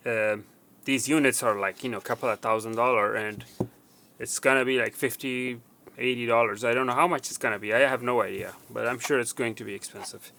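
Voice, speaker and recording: A man's voice: mid-range voice, gravelly, bright, forceful tone. A man presenting a casual, unscripted how-to demonstration, talking informally and conversationally and repeating words for emphasis. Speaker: presenter of a tech repair tutorial.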